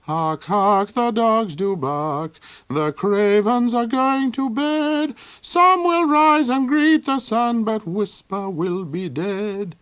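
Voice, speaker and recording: A man's voice: sits high, animated, takes it slowly, fairly bright tone, smooth, voice pushed up, exaggerated voice. A man doing a bold young wandering minstrel. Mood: singing. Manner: lilting, sings his lines.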